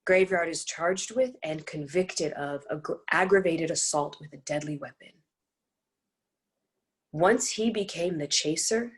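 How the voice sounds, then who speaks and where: A woman reading scripted dramatic narration and stage directions for a play. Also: lowish voice.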